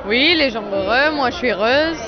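A woman's voice: a little high, lively intonation, moderate pace, dull audio, crowd noise. A woman attending a wine festival, answering cheerfully and enthusiastically outdoors. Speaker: festival guest.

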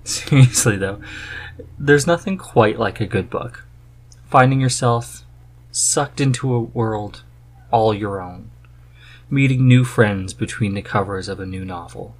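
A man's voice: medium pitch, a little slow, long pauses, slightly rough. A man hosting a podcast in a casual, chatty style. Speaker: podcast host. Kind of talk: casual chat.

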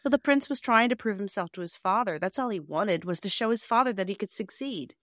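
Female co-host, bright forward tone, medium pitch, wry and dry-humoured casual talk. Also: animated, a little fast, no room sound. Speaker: podcast co-host. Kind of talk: casual story discussion.

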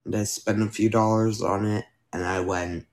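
A man's voice: fairly low pitch, level tone, moderate pace, darkish tone. A young man hosting a casual solo podcast, rambling with fillers and self-corrections while losing his voice. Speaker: podcast host. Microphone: new microphone being tested.

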